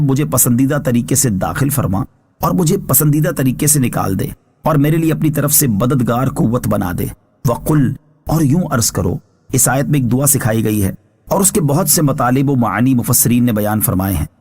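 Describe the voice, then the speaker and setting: A man giving a scripted religious narration as a scholar, explaining scripture in a measured, formal way. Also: medium pitch, lively intonation, darkish tone, slightly rough. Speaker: religious scholar reading translation and commentary.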